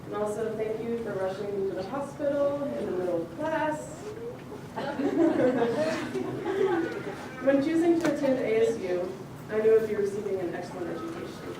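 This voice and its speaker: A woman's voice: fairly high pitch, lively intonation, moderate pace, pauses often, crowd noise. Young woman giving a speech in an echoey hall. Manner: light, joking and tearful at times, frequent laughs. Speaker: graduating student speaker. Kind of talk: ceremony speech. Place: large hall. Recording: echoey hall, far from the mic, audible noise.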